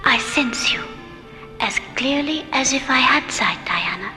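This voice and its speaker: A serene alien mystic for a radio sci-fi drama. She is breathy and solemn, measured like a keeper of old legends, floating in long reverb over music. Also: high-pitched, lively intonation, pushed and bright, full character voice. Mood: solemn, mystical. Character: serene, mystical blind alien woman, grave storyteller. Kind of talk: old-time radio science fiction acting.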